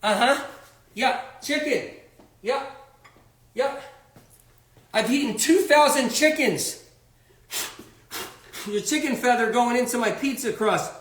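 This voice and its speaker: Man putting on a smug young bragger, mocking sing-song, high voice, echoey room. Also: takes it slowly, pauses often, fairly bright tone, full character voice. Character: smug young man bragging about his balanced diet.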